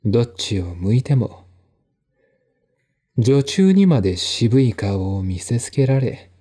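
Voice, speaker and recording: A man narrates a mystery novel as a dramatic audiobook. His voice is mellow and medium in pitch, and he reads slowly, phrase by deliberate phrase. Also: animated, slightly rough. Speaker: narrator and reader.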